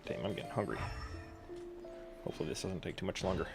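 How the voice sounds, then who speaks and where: A man's voice: sits low, expressive, quick pace. A man giving casual, sarcastic playthrough commentary, teasing and muttering to himself as he plays. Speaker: gamer. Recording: game music clearly behind. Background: video game music.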